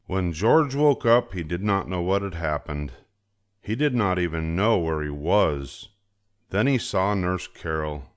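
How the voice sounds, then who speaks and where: Older male storybook narrator, very low voice, expressive read-aloud for young children. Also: a little slow. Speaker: storybook reader.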